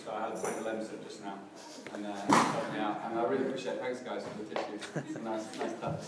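A middle-aged man gives a laid-back sermon, his voice a little hoarse and crackly. He talks in a self-deprecating way, full of pauses and restarts, at an everyday pitch. He is picked up from a distance in a very echoey hall with background noise. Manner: relaxed, self-deprecating, hesitant with fillers and restarts. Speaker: preacher. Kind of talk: casual church sermon. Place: church hall, in front of a congregation.

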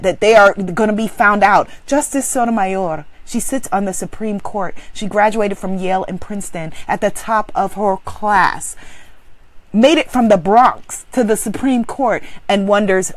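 A woman's voice: a little high, no echo. A woman motivational speaker giving an inspirational talk to women, emphatic and exclamatory, with preacher-like repetition.

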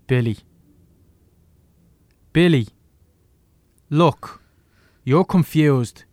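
A man's voice: a little high, extremely slow, darkish tone, no room sound. An actor playing a weary, wary adult man with an Irish accent, blunt and exasperated, in naturalistic audio drama. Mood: stern, exasperated.

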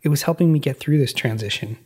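Husky reflective male podcast host. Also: medium pitch, a little mellow. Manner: reflective, measured, personal. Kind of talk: scripted podcast narration.

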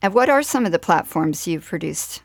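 A woman's voice: on the low side, lively intonation, normal speed, no room sound. A woman hosting a conversational live radio interview from a studio.

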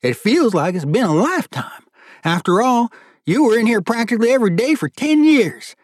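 Audiobook role: hearty middle-aged dad and restaurant owner acted by a man, thick accent, raised pitch, excitable and warm. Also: fairly quick, no echo, studio quality.

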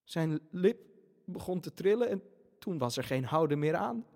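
A man narrating a family radio play as a theatrical storyteller, with dramatic pauses and hesitations. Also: fairly high pitch, expressive, gravelly, mellow. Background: music.

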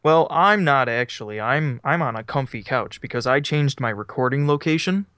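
A young man chats loosely on a homemade podcast, wandering through his thoughts and laughing at himself. His voice is smooth and clear, recorded close and dry on a mic beside him, in a middle pitch. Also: lively intonation, normal speed, fairly bright tone, no room sound. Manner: rambling, self-deprecating, laughs often. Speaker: podcast co-host. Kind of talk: casual chat. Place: on a couch at home. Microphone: microphone set up beside him.

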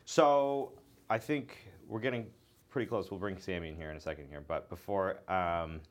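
Low male host, relaxed interviewer, supportive back-channeling. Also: normal speed. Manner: easygoing, frequent affirming interjections. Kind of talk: casual interview.

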